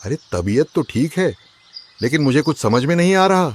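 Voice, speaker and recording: A man's voice: a little high, very animated, moderate pace. A man voicing an accusing, suspicious husband in a cartoon, speaking with emphasis. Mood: uneasy. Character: suspicious, aggrieved husband robbed of his chest. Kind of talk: Hindi cartoon voice acting.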